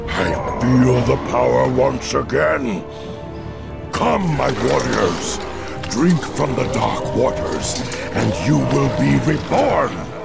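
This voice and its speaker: An actor playing a proud, fierce orc warlord in a video game, rough-voiced, intense and declamatory. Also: male voice, medium pitch, animated, takes it slowly, over loud music, full character voice. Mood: exultant. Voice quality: rough, gravelly.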